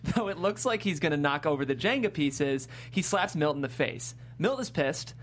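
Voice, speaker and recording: A woman's voice: deep voice, expressive, moderate pace. A woman reading out screenplay stage directions as a narrator. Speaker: script narrator.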